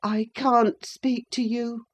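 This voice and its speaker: A woman's voice: on the high side, flat delivery, slow pace, voice pushed up. A woman voicing a sickly, petulant teenage boy in an audiobook, whining and self-pitying. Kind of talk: audiobook character reading.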